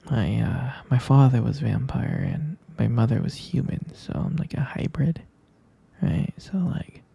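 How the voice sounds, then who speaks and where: A man acts out a drowsy, tender vampire boyfriend in a bedtime ASMR roleplay. His voice is very breathy and husky, crackling with heavy fry. He speaks slowly and casually, with hesitant, intimate murmurs. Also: medium pitch, lively intonation, dark tone, heavily acted. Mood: patient, explaining. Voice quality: breathy, gravelly half-whisper. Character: gentle, sleepy vampire boyfriend comforting his partner at bedtime.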